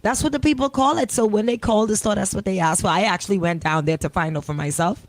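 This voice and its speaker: A woman's voice: medium pitch, quick pace, no room sound. A woman, a radio co-host chatting in a playful, teasing way. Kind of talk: bantering radio chat. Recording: noticeable background noise.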